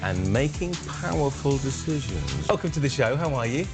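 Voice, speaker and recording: A man hosting a show, briskly introducing his guest and welcoming her. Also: medium pitch, big pitch swings. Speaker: show host. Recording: music under voice.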